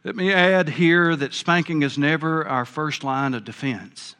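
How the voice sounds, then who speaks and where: A man's voice: fairly high pitch, unhurried, pushed and bright, clear, resonant tone. Older male pastor with a big voice. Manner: big voice, earnest and folksy. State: a bit hard of hearing. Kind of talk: sermon.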